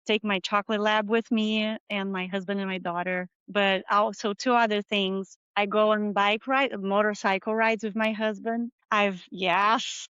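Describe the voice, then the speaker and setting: A middle-aged Brazilian woman speaks English with a clear Brazilian Portuguese accent and a smooth, resonant voice. She talks openly and thoughtfully, with a few small non-native slips. The sound is dry and a little muffled. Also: mid-range voice, fairly even intonation, measured pace, few pauses, fairly bright tone, no room sound. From southern Brazil. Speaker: interview guest, marketing manager. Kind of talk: video interview conversation.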